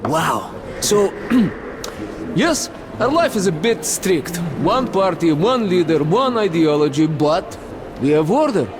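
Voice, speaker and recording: An actor playing a talkative, falsely friendly military major in a video game, with a Russian accent and expressive, chatty delivery. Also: male voice, sits high, slow pace, noisy background, exaggerated voice. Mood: earnest, opinionated. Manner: chatty, expressive, peppering speech with foreign exclamations. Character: boisterous, talkative Red Line army major, falsely friendly.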